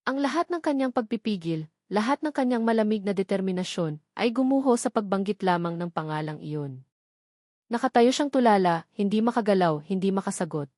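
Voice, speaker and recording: Female audiobook narrator, medium pitch, dramatic scripted storytelling, close dry recording. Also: expressive, brisk, a little mellow, no room sound.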